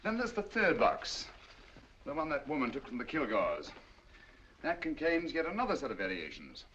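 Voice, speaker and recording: An actor playing a sharp, cool-headed English detective with crisp, measured, lightly ironic speech, in a 1940s film. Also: male voice, a little high, wildly swooping pitch, highs cut off, slight background noise. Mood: explaining.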